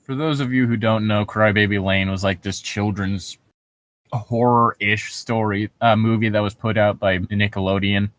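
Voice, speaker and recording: A man's voice: lowish voice, fairly even intonation, normal speed, fairly bright tone, no room sound. Male co-host for horror-story readings, loose and foul-mouthed banter, dry sound. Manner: sweary, joking. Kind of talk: casual banter and reading aloud.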